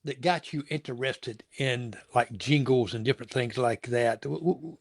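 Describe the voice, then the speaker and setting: A man's voice: medium pitch, moderate pace, vocal fry, dry recording, studio quality. A middle-aged or older man hosting a casual interview, talking in a rambling, folksy way and stammering over repeated words. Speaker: show host interviewing a guest.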